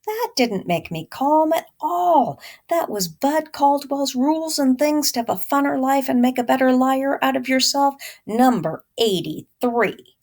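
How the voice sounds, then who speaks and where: A woman's voice: sits high, lively intonation, a little slow, a little mellow, pitched above the performer's own voice, full character voice. A woman reading a storybook as a plainspoken, wry young boy remembering his mama.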